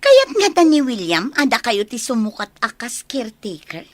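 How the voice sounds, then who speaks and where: Actress as a high, animated conniving daughter. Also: female voice, normal speed, no echo. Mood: eager. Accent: Filipino Ilocano. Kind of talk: radio drama acting in Ilocano.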